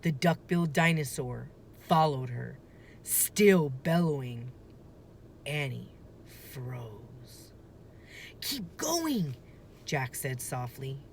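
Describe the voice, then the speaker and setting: A woman's voice: a bass voice, takes it slowly. A woman reading a children's storybook aloud as a teacher would, narrating the story. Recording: faint background noise.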